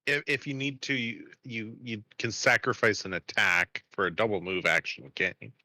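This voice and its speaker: A man's voice: on the low side, steady tone, normal speed, sharp tone, no room sound. A man chatting casually as a player in a tabletop role-playing game.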